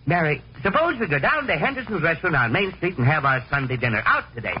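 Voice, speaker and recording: A man's voice: fairly high pitch, big pitch swings, fast. An actor playing an exasperated middle-aged father in a 1940s radio comedy, blustering and stammering. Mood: eager. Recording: muffled, noisy 1940s radio broadcast.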